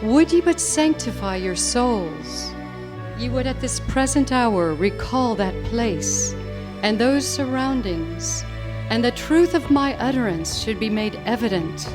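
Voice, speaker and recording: A woman giving a solemn dramatic reading with a measured, reverent delivery at a live program. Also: a little high, animated. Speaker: reader. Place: live holy day program. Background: music.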